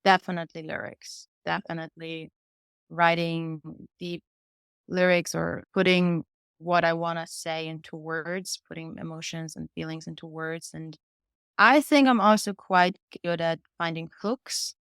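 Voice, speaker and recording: Young woman, slightly low smooth voice, earnest, conversational, dry close sound. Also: steady tone, unhurried, a bit bright, no room sound. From Vienna, Austria. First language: German. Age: 20s. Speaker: podcast guest, singer-songwriter. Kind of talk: conversational interview answers, personal storytelling.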